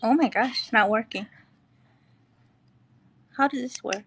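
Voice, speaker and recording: A woman's voice: fairly high pitch, slow, fairly bright tone, slightly rough. A woman from Ethiopia, speaking English as an additional language, chatting casually as a caller on a live stream over a video call. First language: not English, which is at best her fourth language. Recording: noisy online call with clicks.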